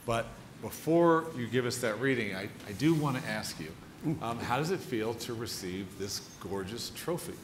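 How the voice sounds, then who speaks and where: A man hosting a live on-stage interview, speaking in a formal, appreciative and deliberate way. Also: medium pitch, lively intonation. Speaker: interviewer, event host. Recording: echoey hall, noisy audience.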